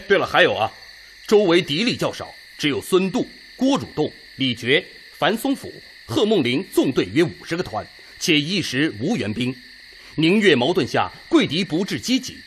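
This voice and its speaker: An actor playing a confident, analytical Red Army officer who gives an earnest, orderly briefing in a Chinese radio drama. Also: male voice, fairly high pitch, fairly bright tone, a little hiss or hum.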